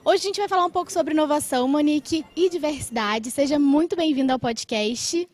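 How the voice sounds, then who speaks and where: A woman's voice: high voice, a little fast, a little mellow. A woman with a Brazilian accent hosting a podcast, talking conversationally and politely as she explains her way into each question. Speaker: podcast host. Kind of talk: conversational interviewing.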